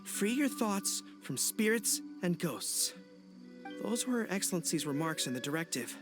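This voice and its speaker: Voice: mid-range voice, sing-song, moderate pace, music in the background, natural voice. An actor playing an earnest, devoted warrior officer in a video game, speaking formally and solemnly with reverence. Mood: solemn, wistful. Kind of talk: video game cutscene acting.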